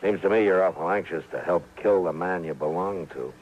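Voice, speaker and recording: Muffled, noisy old radio western recording of a man acting as a stern American frontier marshal with an extremely deep voice. He is gruff and wary, and he wastes no words. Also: a little fast, darkish tone, natural voice. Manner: gruff, laconic, guarded.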